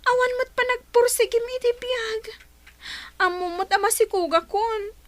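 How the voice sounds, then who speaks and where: Very high-pitched, weary young wife played by a woman. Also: normal speed, fairly bright tone, slight background noise. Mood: reproachful. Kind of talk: Ilocano audio drama acting.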